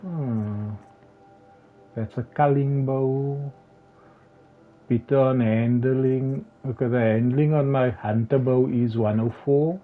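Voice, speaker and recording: A slightly hoarse man commentating his game live, talking haltingly with many restarts and fillers. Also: medium pitch, steady tone. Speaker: game streamer. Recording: tinny phone-like sound with faint music.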